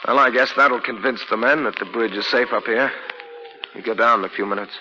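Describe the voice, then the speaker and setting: An actor in a 1940s radio drama playing an earnest, harried bridge-building boss with an emphatic delivery. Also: male voice, medium pitch, a little fast, bright, forceful tone, lo-fi sound, a little hiss or hum. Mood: subdued, uneasy. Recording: old 1940s radio recording.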